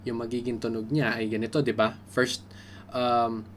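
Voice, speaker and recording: A man's voice: medium pitch, level tone, moderate pace, slight background noise. A man with a Filipino accent hosting a casual tutorial, explaining informally step by step. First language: Tagalog. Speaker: beatbox tutorial host. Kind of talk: casual instructional vlog in Taglish.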